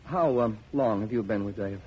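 A man's voice: lowish voice, moderate pace, dark tone, slightly rough. An actor playing a meek, polite, hesitant repairman in a 1950s radio drama. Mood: aggrieved, hesitant. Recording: muffled, noisy old radio recording.

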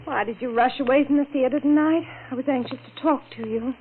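A woman's voice: sits high, fast, phone-quality sound, close to an everyday voice. An actress playing an earnest, hesitant woman in love, speaking confidingly in a 1940s radio melodrama. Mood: anxious, confiding. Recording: thin, noisy old radio recording.

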